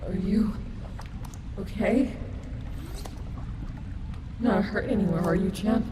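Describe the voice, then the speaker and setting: A woman voicing a protective, excitable female animatronic wolf in an informal, affectionate audio roleplay. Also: slow, noisy background, lightly acted. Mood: caring. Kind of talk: ASMR audio roleplay acting. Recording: echoey, with loud ambient sound effects. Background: loud ambience and rumbling effects.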